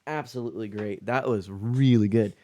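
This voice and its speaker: A man's voice: fairly low pitch, moderate pace, dark tone. Upbeat chatty man streaming live. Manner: upbeat and chatty, laughs often, many fillers. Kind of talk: casual live-stream chat. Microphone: streaming microphone.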